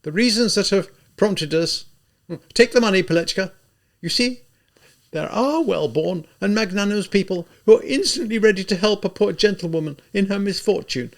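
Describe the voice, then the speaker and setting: A man reading aloud plays a proud widowed gentlewoman on the edge of collapse, her voice pushed far up and loud. She sounds haughty and shrill at once, swooping wildly in pitch, with a gravelly catch under it. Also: expressive, normal speed. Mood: haughty pleading.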